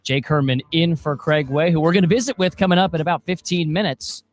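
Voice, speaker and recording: A young adult man filling in as a sports talk radio host, energetic and quick with follow-up questions on a studio mic. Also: a little high, faint music behind. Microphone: studio broadcast mic.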